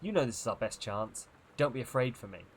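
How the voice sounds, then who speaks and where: A small, daring woman first mate in a storybook sea adventure, put on by a man. She is bold and quick, with a put-on accent. Faint noise sits behind the voice. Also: mid-range voice, lively intonation, close to an everyday voice. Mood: bold, reassuring.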